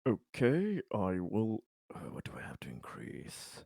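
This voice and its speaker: A man's voice: on the low side, unhurried, creaky, husky, dry recording, clean studio sound. A man chatting casually and jokingly as a tabletop game player, loose with swearing. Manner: animated, casually profane.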